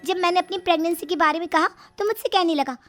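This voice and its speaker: A woman's voice: very high-pitched, fast talker, a bit bright, faint music behind, pitched above the performer's own voice. A woman voicing an aggrieved young married daughter who complains plaintively in a comic story.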